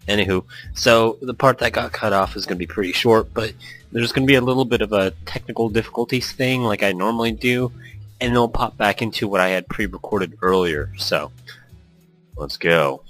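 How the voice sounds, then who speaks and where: A man's voice: lowish voice, moderate pace, fairly bright tone. A man hosting a gaming let's-play in a casual, rambling, self-deprecating chat, reading game text aloud. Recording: music behind the voice. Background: background music.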